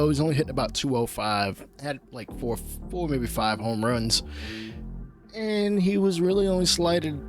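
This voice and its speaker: A man with a cold-stuffed nasal voice, casually commentating a baseball video game from a home studio mic. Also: medium pitch. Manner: laid-back, chatty play-by-play with asides. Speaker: gaming channel host. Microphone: studio mic on a boom arm. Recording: game music underneath.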